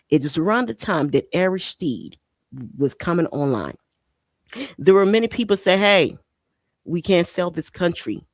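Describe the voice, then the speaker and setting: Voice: mid-range voice, darkish tone, no room sound. A podcast host in their forties, originally from Haiti, delivering an impassioned monologue full of rhetorical questions and repeated phrases.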